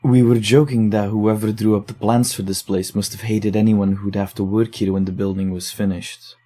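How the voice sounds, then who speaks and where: A man in an audio drama, calmly explaining findings and reading a patient's letter aloud. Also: on the low side, normal speed. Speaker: investigator reading case files.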